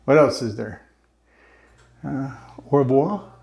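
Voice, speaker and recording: A man hosting a home cooking video, chatty and relaxed, talking through each step of a simple recipe. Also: medium pitch, slow, creaky. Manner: relaxed and chatty, slips into a joking mock-Italian accent. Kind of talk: casual cooking demonstration.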